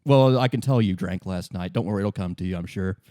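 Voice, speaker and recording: A man playing a calm, polite police lieutenant in a tabletop game, speaking haltingly and reassuringly. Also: low-pitched, dry recording, studio quality, pitched below the performer's own voice, lightly acted. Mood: patient. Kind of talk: improvised tabletop roleplay.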